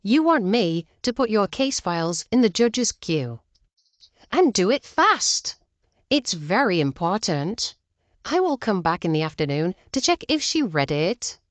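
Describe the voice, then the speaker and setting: Demanding, self-important female complainant read by a woman narrator, animated pitch, entitled, storybook-style narration. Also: unhurried, dry recording. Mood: insistent. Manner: insistent, entitled.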